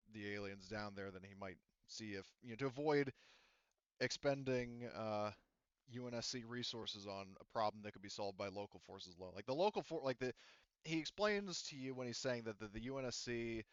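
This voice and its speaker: A man's voice: fairly low pitch, clear, resonant tone, dry recording, lo-fi sound. A man running a tabletop roleplaying game, rambling along with lots of fillers and restarts. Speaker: game master.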